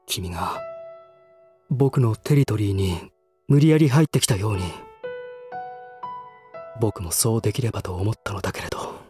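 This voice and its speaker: A man playing a reserved, earnest, refined young man in a Japanese voice drama, with measured, formal phrasing. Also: on the low side, expressive, dark tone, soft background music, close to an everyday voice. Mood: insistent, hurt.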